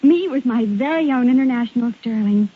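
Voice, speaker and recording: A woman's voice: on the high side, fairly quick, husky. An American woman acting a gushing young bride-to-be in a scripted 1940s radio commercial, on a tinny old recording.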